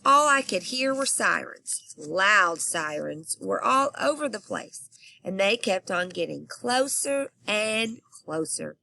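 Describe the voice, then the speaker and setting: A woman's voice: mid-range voice, lively intonation, slow, vocal fry, pushed and bright. A woman teacher reading a children's book aloud expressively and asking her young listeners questions.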